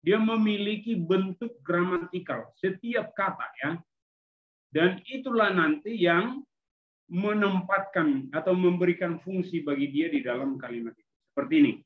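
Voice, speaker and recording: A man with an Indonesian accent and a fairly high voice teaches a class. He explains patiently in small steps, often adding short checking tags. The sound is narrow and thin, like a phone-line call. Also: moderate pace. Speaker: Greek language teacher. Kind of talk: online class lecture with questions.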